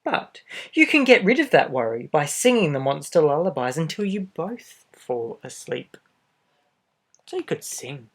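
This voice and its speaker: A man reads a picture book aloud to young children in a gentle, expressive way, adding little asides straight to the listener. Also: on the high side, a little slow. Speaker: storybook reader for children.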